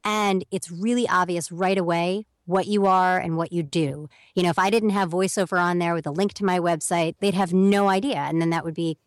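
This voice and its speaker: A woman's voice: lowish voice, steady tone, brisk, hardly any pauses, no echo, clean studio sound. A young woman speaking as a guest on an interview podcast, explaining at length in a chatty way and acting out quoted messages. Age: young adult. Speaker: voiceover talent guest.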